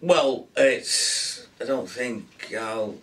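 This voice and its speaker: A man's voice: mid-range voice, animated, drawn-out words, a bit bright, far from the mic, a little hiss or hum. A man voicing a shy, nervous, polite teenage girl in a storybook, with a hesitant and flustered manner. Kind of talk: audiobook character voice.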